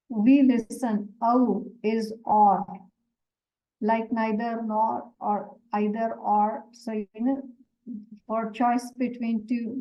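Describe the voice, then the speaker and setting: Slow, measured woman Quran teacher. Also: on the high side, muffled recording. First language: Urdu. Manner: measured explaining with frequent fillers. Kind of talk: explaining verse meanings in a lesson.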